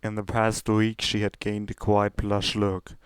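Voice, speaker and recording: A man's voice: fairly low pitch, monotone, a little slow, smooth, no echo. A man reading fiction aloud as a scripted, dramatic narration. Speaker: story reader.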